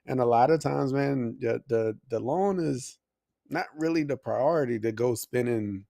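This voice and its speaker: A man's voice: medium pitch, unhurried, vocal fry, mellow, dry recording, clean studio sound. A man co-hosting a livestream, chatting casually in an informal, colloquial way. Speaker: live show co-host.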